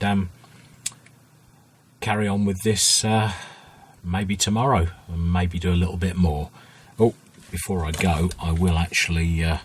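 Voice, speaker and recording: A man giving an informal workbench tutorial as a hobbyist restorer, thinking aloud in a hesitant, unhurried way with a husky voice. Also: deep voice. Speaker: hobbyist radio restorer, video host.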